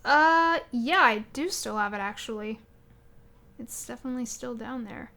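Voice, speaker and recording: A woman with a high voice co-hosts a casual gaming podcast. She talks in an expressive, animated way and often slips into quoting imagined remarks. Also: moderate pace, gravelly. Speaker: podcast co-host. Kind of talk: casual chat about video games.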